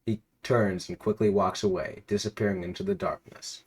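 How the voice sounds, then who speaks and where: Male storyteller, lowish voice, reading an absurd second-person tale with dramatic comedic narration. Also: very animated, normal speed, darkish tone, husky.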